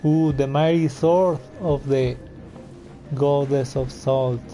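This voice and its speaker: A man's voice: mid-range voice, fairly even intonation, slow pace, dark tone, soft background music. A man giving casual, informal, sweary commentary while he plays and reacts to a video game. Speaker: gamer playing and reacting on video.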